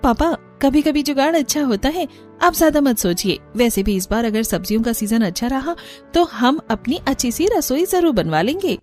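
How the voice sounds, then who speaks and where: Eager, high-voiced young village daughter-in-law acted by a woman. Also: big pitch swings, normal speed, darkish tone, faint music behind. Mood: determined. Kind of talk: animated Hindi cartoon story acting.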